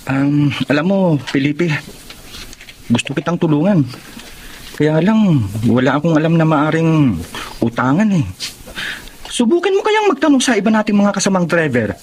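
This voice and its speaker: Noisy recording, kindly higher-voiced man. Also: very animated, normal speed, pauses often. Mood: concerned, sympathetic. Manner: gentle, sympathetic. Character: kindly, concerned ordinary Filipino man. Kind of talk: Filipino radio drama acting. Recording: noisy radio drama recording.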